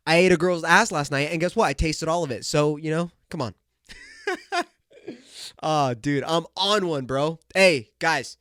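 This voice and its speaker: A man's voice: fairly high pitch, measured pace, a bit bright, smooth, no echo, clean studio sound. A young man hosting a solo podcast who talks in a hyped, joking way, calling his listeners "bro" and "dude", and sounds nasal and congested from a cold. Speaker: podcast host. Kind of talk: casual solo podcast rant.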